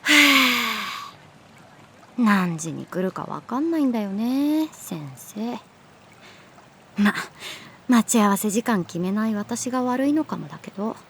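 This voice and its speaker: A woman playing a guarded, sullen middle-school runaway girl in a Japanese audio drama, curt in speech with moody, breathy murmured asides. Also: mid-range voice, animated, unhurried, long pauses, noisy background. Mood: wistful, introspective. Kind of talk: Japanese audio drama acting with introspective narration.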